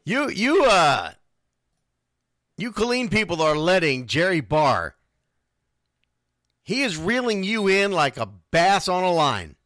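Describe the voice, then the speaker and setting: A man's voice: high voice, big pitch swings, slow, pauses often, fairly bright tone, no echo, studio quality. A man hosting a casual radio show, talking informally and animatedly. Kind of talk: casual live sports radio talk with read sponsor spots.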